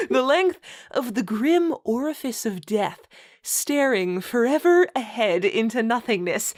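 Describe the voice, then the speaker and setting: A woman reading a story aloud in a dramatic style, giggly and adding amused asides. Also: medium pitch. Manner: giggly, often breaking into laughter while reading. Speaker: reader of a bad fantasy story.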